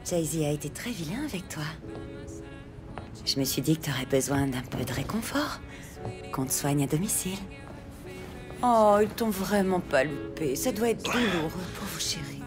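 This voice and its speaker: A woman's voice: low-pitched, animated, moderate pace, long pauses. A woman playing a sultry, scheming gangster's wife in a French audio crime drama, teasing and conspiratorial. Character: seductive, scheming, foul-mouthed gangster's wife. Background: music.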